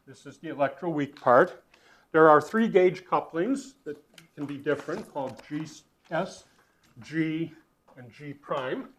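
A man giving a university physics lecture, thinking aloud as he writes, with fillers, restarts and swings in loudness. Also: on the high side, a little slow, a little hiss or hum. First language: not Dutch.

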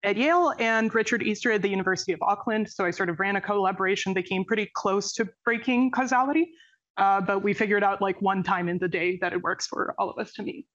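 A woman's voice: medium pitch, fast talker, a bit bright, dull audio. A young woman from Serbia, a postdoc researcher, giving an academic presentation with a nerdy, playful manner and many fillers and self-corrections. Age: young adult.

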